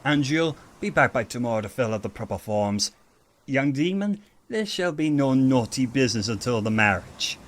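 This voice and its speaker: A man's voice: mid-range voice, animated, normal speed, natural voice. A man playing a playful, affectionate archangel big brother in an audio drama, with a theatrical, teasing manner. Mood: wry, fond. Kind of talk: audio roleplay drama acting.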